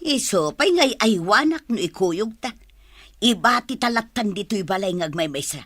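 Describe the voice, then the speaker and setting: A woman's voice: mid-range voice, lively intonation, normal speed, a bit bright, dry recording, studio quality. A woman playing a blunt, grumbling village grandmother in a radio drama, with an Ilocano accent. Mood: brisk. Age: older. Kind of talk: Filipino radio drama acting.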